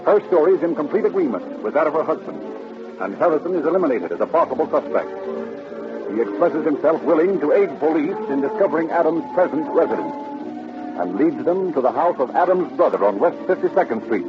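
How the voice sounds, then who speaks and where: Male narrator for vintage radio crime drama, medium pitch, dull recording with music behind. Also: expressive, brisk. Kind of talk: 1930s radio scripted narration.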